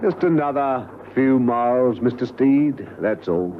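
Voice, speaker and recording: A man playing a gloating, coolly menacing villain in a classic spy radio drama, speaking in a measured way. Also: mid-range voice, lively intonation, close to an everyday voice. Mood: gloating menace. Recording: noisy, muffled phone-like vintage radio recording.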